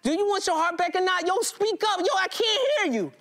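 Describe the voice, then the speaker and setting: Casting: man playing menacing, cocky street gangster for stage monologue, high-pitched, slangy, taunting. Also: lively intonation, brisk, vocal fry, a bit bright, exaggerated voice. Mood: taunting, demanding. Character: cocky menacing male gangster Cupid holding a heart hostage. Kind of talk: spoken-word stage monologue. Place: indoor hall with small audience.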